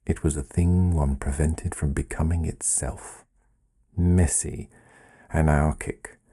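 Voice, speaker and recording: A man reading a literary story as scripted narration, in a measured, deliberate and wry manner. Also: very deep voice, warm tone. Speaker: author reading own story.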